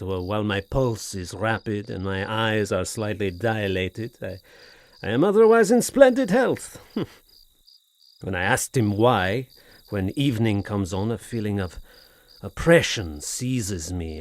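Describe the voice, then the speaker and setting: An actor playing a tormented, anxious French gentleman in an audio horror drama, speaking formally with hesitant, brooding, theatrical delivery. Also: lowish voice. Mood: weary unease. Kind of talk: audio horror drama acting, period literary diction.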